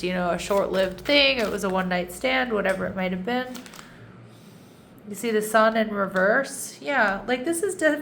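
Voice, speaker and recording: A woman's voice: mid-range voice, a bit bright, a little hiss or hum. A woman giving a casual tarot reading, a little nasal and congested, chatty with frequent restarts and asides. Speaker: tarot reader.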